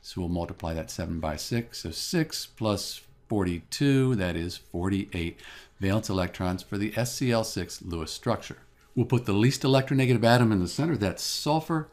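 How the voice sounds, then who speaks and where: A man teaching chemistry in a calm, methodical voice-over, explaining step by step. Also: on the low side, normal speed. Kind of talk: scripted lesson voice-over. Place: voice-over over a drawing screen.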